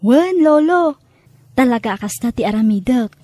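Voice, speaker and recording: An actress playing an earnest, respectful young adult granddaughter in an Ilocano drama, with an Ilocano accent. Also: female voice, fairly high pitch, expressive, moderate pace, mellow, dry recording. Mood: shy, hopeful. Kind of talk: Ilocano radio-style drama acting.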